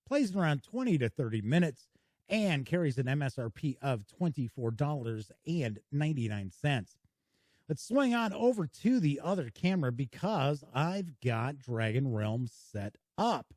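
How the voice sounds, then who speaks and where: A man hosts a casual board game review with a folksy, welcoming manner. His pitch swings widely and expressively. His voice sits a little high but has a mellow, dark tone, and it is recorded dry in a small home studio. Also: big pitch swings, normal speed, dry recording.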